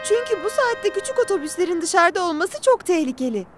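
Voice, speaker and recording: A woman playing a brisk, bossy caretaker in a children's cartoon dub, urging and insistent. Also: very high-pitched, sing-song, music in the background.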